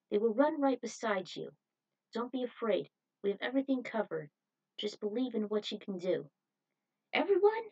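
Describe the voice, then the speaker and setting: A woman's voice: on the high side, darkish tone, muffled recording, voice pushed up. A woman voicing a young male squad leader who gives urgent, encouraging orders in an action story. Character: commanding young male squad leader rallying his troops.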